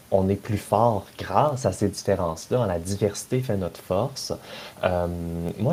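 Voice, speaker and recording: A man's voice: fairly low pitch, darkish tone, husky. A man hosting an interview, asking long, hesitant questions in a casual way. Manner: hesitant, repeats words while asking questions. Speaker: interview host.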